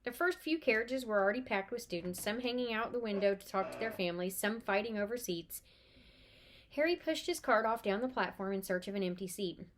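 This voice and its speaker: A woman's voice: mid-range voice, lively intonation, brisk, slight background noise. A woman reading a children's novel aloud as storyteller, expressively and with animation.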